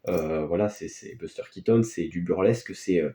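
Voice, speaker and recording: A man's voice: low voice, normal speed, dark tone. A man gives casual, unscripted film commentary as a video host, in a hesitant, rambling, offhand way. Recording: echoey, roomy sound.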